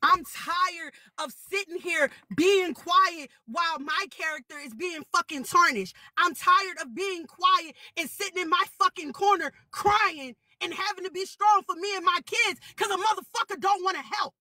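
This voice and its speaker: A young woman delivers a heated livestream rant, loud and profane, hammering the same phrases again and again. Her voice sits very high and has a sharp, bright edge. The sound is dry and close. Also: normal speed, few pauses, no room sound. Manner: shouting, profane, repetitive. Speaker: livestream guest.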